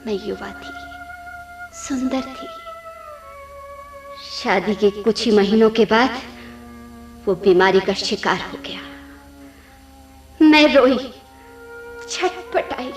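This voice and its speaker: An actress playing a young ghost woman in a radio drama, pleading and bitter, with a breathy, dramatic delivery that drops into whispers. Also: high voice. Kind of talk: Hindi radio play acting.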